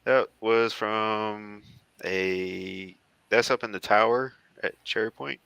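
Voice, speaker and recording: An American man in his thirties chatting casually as he talks through old photos, halting and rambling as he goes. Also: lowish voice, steady tone, vocal fry, fairly bright tone, clean tone, no echo, dull audio. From southeastern United States. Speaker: guest, former Marine air traffic controller.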